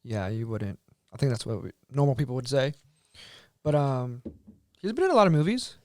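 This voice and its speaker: A man's voice: mid-range voice, very animated, moderate pace, darkish tone, slight background noise. A man hosting a podcast, chatting casually with an upbeat, enthusiastic manner as he steers the talk. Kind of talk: casual chat.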